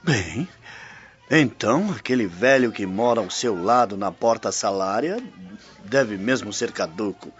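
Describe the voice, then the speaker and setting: A man's voice: lowish voice, animated, unhurried. An actor playing an imposing, suavely menacing male Roman patrician in a radio drama, probing and mock-friendly. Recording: dull lo-fi radio drama recording. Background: faint music.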